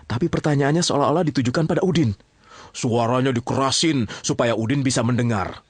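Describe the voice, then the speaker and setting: A man's voice: mid-range voice, a little fast, slightly rough, no echo. A man with an Indonesian accent telling a story informally, often checking in with his listeners. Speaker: storyteller narrator addressing listeners.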